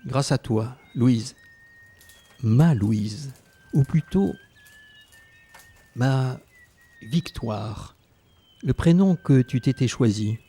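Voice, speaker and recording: A man's voice: mid-range voice, animated, extremely slow, long pauses, warm tone, husky, full character voice. A man playing an elderly former Resistance fighter, narrating his memories tenderly and reflectively in a radio drama. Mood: tender, nostalgic.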